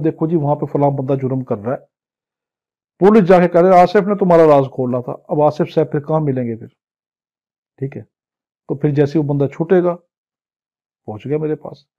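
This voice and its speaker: Husky Pakistani man lecturing. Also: on the high side, warm tone. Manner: didactic, translating phrase by phrase, with acted-out examples. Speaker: law teacher. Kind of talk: exam-prep lecture, reading legal text and explaining it. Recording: dull, lo-fi lecture audio.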